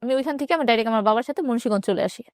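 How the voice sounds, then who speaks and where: A woman with a Bangladeshi accent telling her personal story in an interview, haltingly and with repeated phrases. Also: fairly high pitch, no room sound, studio quality.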